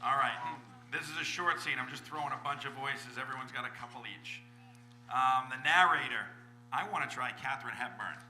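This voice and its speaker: A man hosts informally from a stage, his voice very bright and forceful. The live recording rings with room echo. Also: mid-range voice, a little fast, a little hiss or hum. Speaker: moderator. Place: comic convention stage. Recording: echoey live convention hall.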